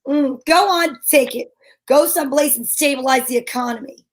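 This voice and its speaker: A woman's voice: sits high, normal speed, close to an everyday voice. A woman playing a wry, wisecracking female private eye in an old-time radio detective drama. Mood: breezy, teasing. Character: wry, breezy woman private eye narrating her case.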